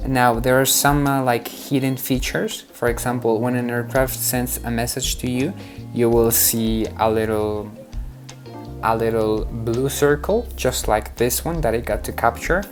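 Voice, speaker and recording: A man's voice: mid-range voice, normal speed. A man hosting a casual app walkthrough, explaining things informally step by step. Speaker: tutorial host. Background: background music.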